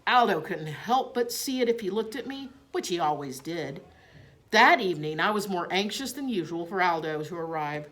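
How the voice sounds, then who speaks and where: Female storyteller, very animated intonation, slightly low pitch, children's book narration. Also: normal speed. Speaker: teacher reading a children's book aloud.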